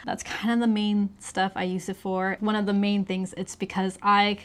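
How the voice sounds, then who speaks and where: A woman's voice: medium pitch, flat delivery, moderate pace. A woman talking informally as she co-hosts and demonstrates in a casual gadget review.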